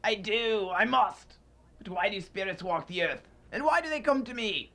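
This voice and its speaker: A man playing a miserly, grumpy old man in a student radio drama, scornful, gruff and easily spooked. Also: sits high, lively intonation, normal speed, pushed and bright, full character voice. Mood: terrified, pleading. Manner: gruff, irritable, declamatory.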